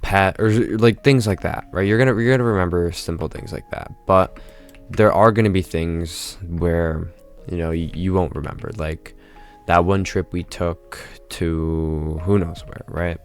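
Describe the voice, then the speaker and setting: Congested low-voiced man musing aloud. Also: fairly even intonation, a little slow, hardly any pauses, smooth, soft background music. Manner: rambling, tags nearly every phrase with "right?". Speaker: vlogger. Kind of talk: casual reflective monologue.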